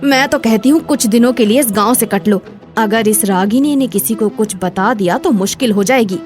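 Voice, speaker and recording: A woman's voice: on the high side, normal speed, a little mellow, soft background music, lightly acted. A woman voicing a scheming, spiteful village eatery owner who scolds scornfully in a dramatized moral story. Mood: suspicious scolding.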